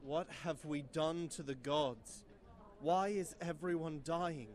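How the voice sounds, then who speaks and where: A man's voice: fairly high pitch, takes it slowly, darkish tone. A man acting an anguished, despairing villager in a student radio play. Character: anguished villager despairing over a deadly disease.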